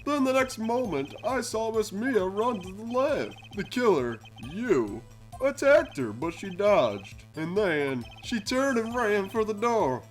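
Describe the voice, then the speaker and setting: A man's voice: high-pitched, very animated, takes it slowly, faint music behind, full character voice, poor audio. A man in an amateur game fandub playing a pompous, slippery witness with affected, overly formal diction who gets flustered when cornered.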